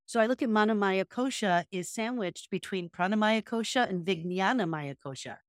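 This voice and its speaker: A woman's voice: medium pitch, moderate pace, no room sound, studio quality. A woman co-hosting a casual talk show, musing thoughtfully with frequent restarts and then guiding a yoga sequence. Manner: thoughtful, searching, with many restarts. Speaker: podcast co-host and yoga teacher. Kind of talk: casual reflective conversation.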